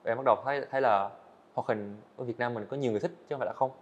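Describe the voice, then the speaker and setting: Fast-talking earnest eighteen-year-old man. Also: medium pitch, muffled recording. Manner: earnest, storytelling, answers politely with "dạ vâng". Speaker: guest, animator. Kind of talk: podcast interview. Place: indoor studio set.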